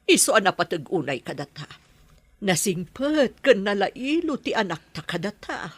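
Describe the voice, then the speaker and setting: Husky actress as anxious, protective mother. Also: medium pitch, big pitch swings, normal speed, no echo. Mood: anxious, determined. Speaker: actor in a drama. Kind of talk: Ilocano audio drama acting.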